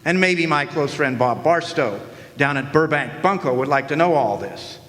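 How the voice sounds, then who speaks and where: An actor playing a wisecracking hard-boiled detective in a noir radio drama, deadpan and full of slang. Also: male voice, a little high, normal speed. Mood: wry, cocky. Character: wisecracking hard-boiled male private detective narrating his case. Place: large hall, live stage performance. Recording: echoey hall, live stage performance.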